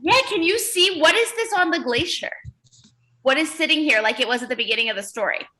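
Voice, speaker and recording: Female early-years teacher, high and very lively pitch, animated read-aloud style, encouraging. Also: a little fast. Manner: animated, encouraging praise and questions; repeats children's answers back. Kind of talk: interactive children's read-aloud lesson.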